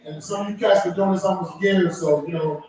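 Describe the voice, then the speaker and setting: A man's voice: sits high, fast talker, a little mellow. A man hosting an open mic night, bantering loosely and jokingly between acts. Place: open mic at a bar venue. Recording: echoey room from far off, crowd noise.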